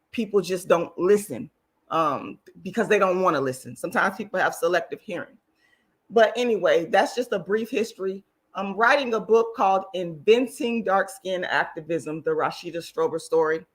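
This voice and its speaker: A hoarse woman with a deep voice, hosting her own vlog in a blunt, indignant, repetitive way and swearing freely. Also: a bit bright. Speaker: channel host. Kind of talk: casual vlog monologue.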